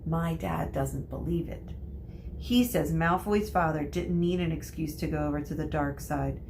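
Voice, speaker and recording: A woman's voice: sits low, steady tone, moderate pace, gravelly, darkish tone, slight background noise. A woman reading the part of a young schoolboy in a storybook, frank, plain-spoken and a little grumpy. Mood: matter-of-fact.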